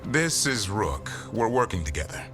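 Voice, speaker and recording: An actor playing a proud elf monster hunter in a video game, gruff but fond, bristling when teased. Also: male voice, lowish voice, big pitch swings, normal speed, a bit bright, soft background music. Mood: matter-of-fact.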